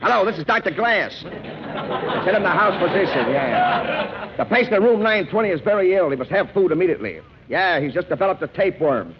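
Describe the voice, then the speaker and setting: Brash broke producer, slangy, bossy, animated, 1940s radio comedy, muffled hissy recording. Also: male voice, high-pitched, brisk. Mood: scheming. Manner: bossy, slangy, smooth-talking.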